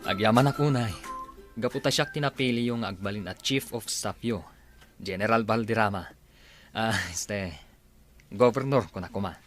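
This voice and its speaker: A man's voice: fairly low pitch, moderate pace, long pauses, soft background music, natural voice. A man playing a loyal, deferential attorney and chief of staff in an audio drama, polite and eager to please. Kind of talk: Ilocano audio drama acting.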